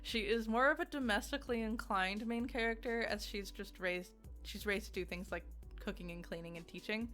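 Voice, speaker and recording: A woman's voice: medium pitch, fairly bright tone. A woman giving a casual, conversational video game review in an emphatic, informal way, often giggly as she talks.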